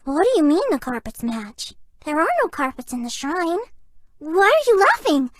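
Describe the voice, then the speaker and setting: A woman voicing a shy, sweet, excitable young female fox spirit for a video game, with a cutesy girlish voice. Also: high-pitched, moderate pace. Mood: shy, playful. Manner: bashful, earnest, playful. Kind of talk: video game character voice lines.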